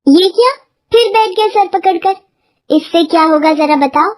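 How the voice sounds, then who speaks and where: A woman's voice: very high voice, moderate pace, no room sound, studio quality, pitched above the performer's own voice. A woman voicing a sensible, nagging village farmer's wife in a cartoon moral story. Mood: nagging, urging.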